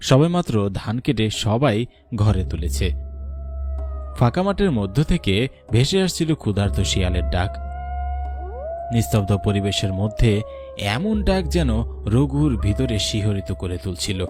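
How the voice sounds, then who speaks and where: A man with a fairly low voice delivers scripted horror narration for a cartoon. Clear background music accompanies his storytelling. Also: big pitch swings, normal speed, long pauses.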